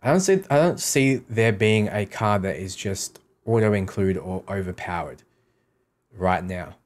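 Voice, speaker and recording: A low-voiced man talks casually on a gaming livestream. He reads questions aloud and replies offhand, often repeating short sentences to make his point. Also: animated, a little slow. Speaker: game streamer answering chat questions.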